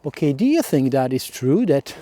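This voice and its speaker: A man's voice: mid-range voice, very animated, moderate pace, mellow, no room sound. A man with an Italian accent, a native Italian speaker, talking casually to his followers in a solo vlog, hesitant, with many fillers, often checking in with "okay?". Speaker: vlogger.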